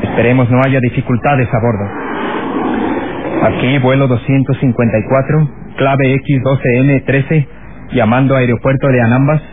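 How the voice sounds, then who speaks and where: A man acting a stern, tense airline pilot in an old radio serial. He speaks tersely in a medium-pitched voice, through a thin recording thick with noise. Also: level tone, normal speed, phone-quality sound, natural voice. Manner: terse, official. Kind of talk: vintage radio drama acting.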